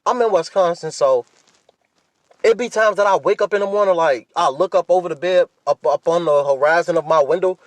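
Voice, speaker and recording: A young American man with a slightly high voice, recorded fairly dry. He talks like a casual podcast host, rambling along in a laid-back way and swearing freely. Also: a little fast, no room sound. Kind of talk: casual solo podcast chat.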